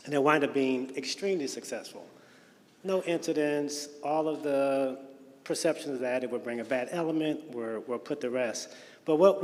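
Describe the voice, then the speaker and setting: Man, audience question, conversational, long hall reverb. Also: medium pitch. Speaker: audience member asking a question.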